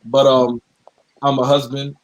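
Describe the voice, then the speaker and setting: A man speaking as a guest mentor in a casual video call chat, steady and earnest. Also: medium pitch, unhurried, highs cut off, a little hiss or hum.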